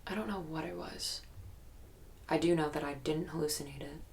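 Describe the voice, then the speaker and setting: An actress playing an earnest young woman recounting frightening memories in a halting, guarded, matter-of-fact interview style for an audio drama. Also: sits low, vocal fry, darkish tone. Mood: haunted, insistent. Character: haunted, earnest young woman recalling childhood terror.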